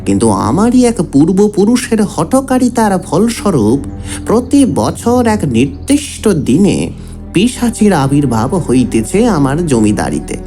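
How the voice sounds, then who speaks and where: A man's voice: on the high side, huge pitch swings, a little slow, warm tone, soft background music, full character voice. An actor playing an earnest sixteen-year-old male disciple, deferential and formal, in a horror audio drama. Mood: formal, grave. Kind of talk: dramatised audio story, formal letter reading.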